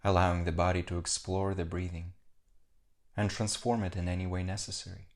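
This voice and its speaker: A man with a low voice guides a meditation. He speaks calmly and in a measured way, setting out short phrases with pauses between them, as a meditation teacher does.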